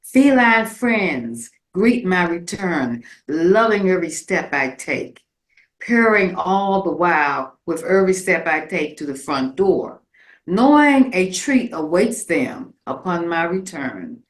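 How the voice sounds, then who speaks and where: A woman recites a poetic monologue with lyrical, theatrical delivery. Her voice is slightly low and she moves slowly through each phrase, with the echo of a live room around her. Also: very animated. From rural Virginia, United States. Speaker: community performer reading own piece. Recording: roomy, echoing live space.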